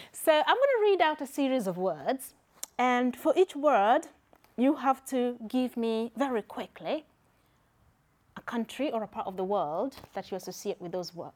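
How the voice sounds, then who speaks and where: A woman's voice: medium pitch, darkish tone. A woman with a Kenyan accent giving a conference talk in a church and running a word game with the audience, teasing and laughing often. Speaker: conference speaker. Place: church hall with live audience.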